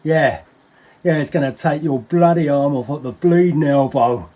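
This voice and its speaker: An actor playing an agitated, paranoid English henchman in a dramatic monologue, husky, wordy and slangy, with theatrical repetitions. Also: on the high side, normal speed, dark tone, natural voice. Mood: agitated, scornful. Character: agitated paranoid henchman ranting about a superspy, colourful slangy storyteller.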